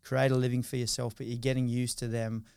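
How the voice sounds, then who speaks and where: An Australian man in his mid-thirties talks through his story as a podcast guest. He rambles, restarting sentences and filling gaps with ums and you-knows. His voice is smooth and clear, at a middling pitch, recorded dry and close. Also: flat delivery, quick pace, a little mellow, dry recording. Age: 30s. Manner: rambling, many fillers and restarts. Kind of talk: casual interview.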